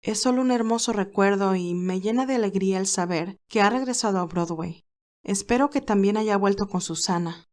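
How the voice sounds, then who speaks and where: A woman voicing a tender, sincere, nostalgic young woman in a dramatized romantic story, speaking earnestly and gently. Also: medium pitch, normal speed, lightly acted. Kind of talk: dramatized romantic fan fiction.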